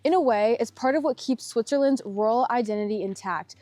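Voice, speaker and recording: A woman's voice: a little high, fairly quick, dark tone, no room sound. A woman narrating a travel vlog. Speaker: travel vlogger. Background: music.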